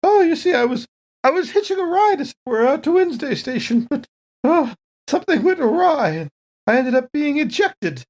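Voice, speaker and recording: A man's voice: very high-pitched, very animated, normal speed, voice pushed up. A man voicing a genteel, scatterbrained lady android tourist in a tabletop game, polite and rambling. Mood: fluttery, cheerful. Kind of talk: tabletop role-play acting.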